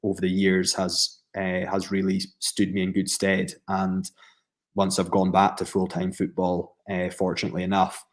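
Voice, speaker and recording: A man's voice: low-pitched, monotone, moderate pace. A Scottish man, a professional footballer, giving long reflective answers in a podcast interview, with frequent fillers and restarts.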